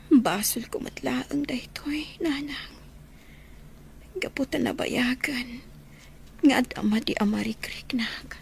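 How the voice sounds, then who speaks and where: A woman with an Ilocano accent playing a frail, despairing young wife in a radio drama, her voice weak and breathy. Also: on the high side.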